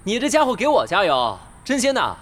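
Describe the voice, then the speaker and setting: A snappy young gamer in an audio drama. The voice is high, husky and extremely animated, full of feisty banter. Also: male voice, a little fast, exaggerated voice. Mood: bantering, irritated.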